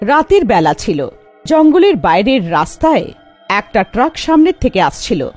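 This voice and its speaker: High, lively woman storyteller. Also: moderate pace, faint music behind. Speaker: narrator. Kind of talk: scripted animated horror fairy-tale narration.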